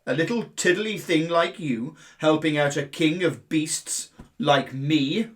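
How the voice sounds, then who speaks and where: A man's voice: on the high side, slow pace. A man voicing a huge, haughty male lion, lazy king of beasts, with a pompous, scornful manner in a children's fable. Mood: amazed.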